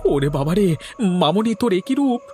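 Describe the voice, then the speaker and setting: A man playing a worried, indignant village man in an animated horror story, exclaiming anxiously. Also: sits high, normal speed, faint music behind. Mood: shocked, indignant.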